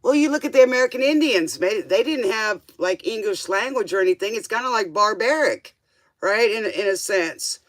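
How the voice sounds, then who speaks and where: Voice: medium pitch, expressive, normal speed, dry recording. A livestream tarot host chatting casually in a rambling, informal way, drifting between thoughts and tossing out questioning asides.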